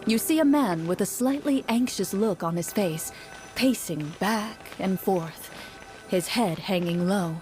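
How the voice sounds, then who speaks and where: A woman playing a game master who narrates and voices an anxious, pleading townsperson in an expressive, earnest way. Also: medium pitch, unhurried, lightly acted. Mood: narrating, suspenseful. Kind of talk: tabletop story narration and dramatic role-play. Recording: music behind the voice. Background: game music.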